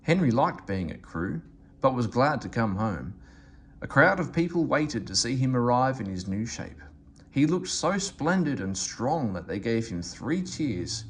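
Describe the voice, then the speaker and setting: A man reading a children's story aloud in an expressive, animated way. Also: mid-range voice, measured pace, a little hiss or hum. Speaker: storybook reader.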